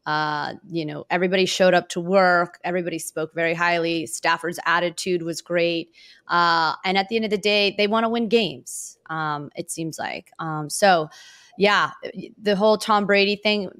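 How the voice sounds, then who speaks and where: Female sports host; conversational, slightly low voice, close dry recording. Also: moderate pace, a bit bright, clean tone, no echo.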